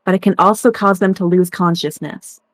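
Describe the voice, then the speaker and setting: A woman playing a kind, knowledgeable crewmate in a drama, explaining things in a gentle manner. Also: fairly low pitch, steady tone, a little fast, lightly acted. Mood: caring, explaining. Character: kind, knowledgeable young female crewmate with mystical learning. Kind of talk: dramatic game-script acting.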